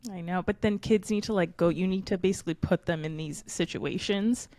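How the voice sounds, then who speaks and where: A woman's voice: fairly low pitch, fairly quick, a little mellow. A woman in her twenties co-hosting a podcast in easygoing, reflective casual chat. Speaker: podcast co-host.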